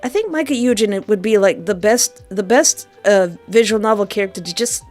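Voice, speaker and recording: Woman, livestream host, casual chat, effusive and informal, medium pitch, music playing behind. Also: normal speed, darkish tone. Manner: effusive, informal, reacting aloud to chat messages. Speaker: livestream host and game developer. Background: background music.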